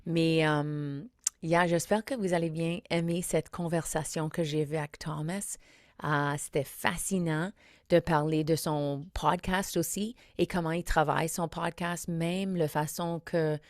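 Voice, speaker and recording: Chatty low-voiced woman podcast host. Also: steady tone, unhurried, dry recording, clean studio sound. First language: English. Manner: chatty, switches into English phrases, frequent fillers. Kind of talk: casual podcast intro and interview. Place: studio.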